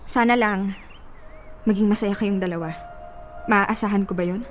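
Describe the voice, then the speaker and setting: An actress playing a heartbroken young woman in a radio drama, speaking steadily and with quiet edge. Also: mid-range voice, steady tone, moderate pace, slight background noise, lightly acted. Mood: wounded, restrained. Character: heartbroken young woman, calm but wounded. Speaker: lead character.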